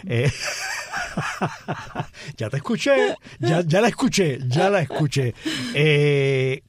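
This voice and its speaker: A man's voice: fairly high pitch, very animated, takes it slowly, slightly rough, no echo. A man interviewing a guest, asking short prompting questions.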